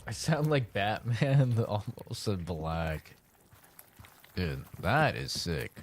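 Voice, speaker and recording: A man streaming a game, talking casually with a raspy, deeper-than-usual morning voice, rambling with lots of "like" and "man". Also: big pitch swings, slow, slight background noise. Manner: rambling, filler-heavy, says "like" and "man" often. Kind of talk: casual gameplay livestream commentary.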